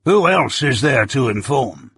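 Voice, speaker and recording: A weathered old convict with a gravelly, husky voice, voiced by a male reader. He talks in broad rustic dialect, blustering proudly one moment and dropping low the next. Dry, close audiobook sound. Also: sing-song, unhurried, a bit bright, no room sound, exaggerated voice. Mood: confiding. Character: rough old male ex-convict, gruff, doting. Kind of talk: audiobook character voice.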